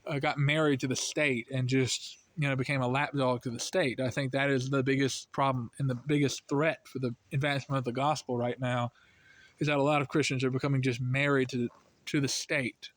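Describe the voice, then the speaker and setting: An American man giving casual religious commentary, earnest and rambling, full of fillers, his voice lifting when he makes a point. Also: medium pitch. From United States. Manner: earnest, rambling, heavy with "you know" and "I mean" fillers.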